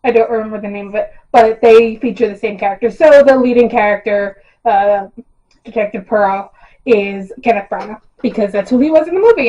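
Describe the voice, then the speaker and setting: Hoarse rambling woman, lo-fi sound. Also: on the high side, warm tone. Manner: rambling and self-deprecating, with frequent ums and laughs. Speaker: YouTuber casting book adaptations. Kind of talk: casual chat to camera. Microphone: built-in camera mic, no external mic.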